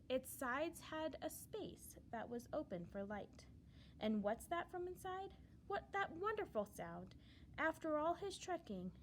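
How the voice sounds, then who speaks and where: A woman reads a rhyming children's story aloud in a high voice. Her intonation is very lively, lifting and falling with dramatic emphasis on the verse. A slight noise sits behind her narration. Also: low-quality recording.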